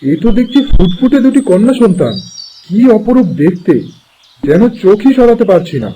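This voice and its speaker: A man voicing a tender, excitable king in a cartoon fairy tale, speaking in a theatrical, wondering way. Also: high-pitched, moderate pace, slight background noise, rough recording. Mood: awed, joyful.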